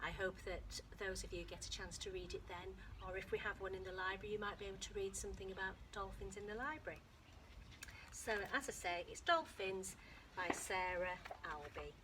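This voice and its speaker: A woman teacher with a British accent reading a children's picture book aloud, with expressive storytelling that sometimes drops to a soft whisper and stops to explain words simply for young children. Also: mid-range voice, a little fast, background noise. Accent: British English. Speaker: infant school teacher.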